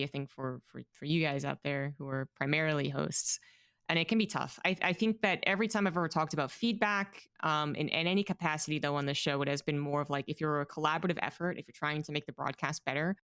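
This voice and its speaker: A woman's voice: low-pitched, fairly bright tone, clear, resonant tone, no echo, studio quality. A woman esports commentator hosting an interview over a video call, thinking aloud in a reflective way through a headset. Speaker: esports commentator, podcast host. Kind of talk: casual interview chat. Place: small room at home.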